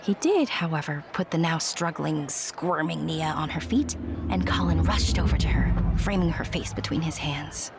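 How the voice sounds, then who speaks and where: A woman's voice: deep voice, lively intonation, normal speed, very noisy. A woman narrating a scripted fantasy audio drama like a storybook, with chatty asides to the listener. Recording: loud music under the narration. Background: music.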